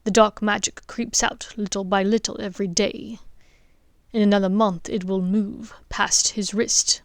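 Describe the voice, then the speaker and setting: A woman reading the part of a stern, precise male wizard, speaking slowly in a low, hushed way. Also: flat delivery, no room sound, clean studio sound, close to an everyday voice.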